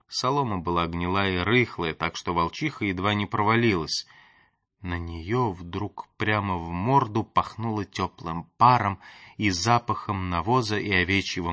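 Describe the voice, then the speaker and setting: A man with a fairly low, bright and forceful voice narrates a story expressively, in the manner of an audiobook. His delivery is theatrical and varied, and the recording is dry and close. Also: no room sound.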